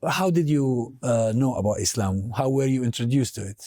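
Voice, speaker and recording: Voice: medium pitch, animated, normal speed, dark tone, dry recording, studio quality. An interviewer asking a guest questions, with hesitant fillers.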